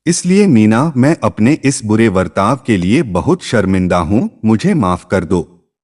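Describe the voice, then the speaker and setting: Guy playing sorry husband in a story, begging forgiveness, drawn-out words, big reverb. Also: fairly low pitch, sing-song, darkish tone. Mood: remorseful. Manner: tearful, contrite. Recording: long reverb.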